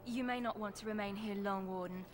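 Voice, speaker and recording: A woman playing a calm, apologetic carer of the wounded in a fantasy game, polite and measured. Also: mid-range voice, lightly acted. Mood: grave apology.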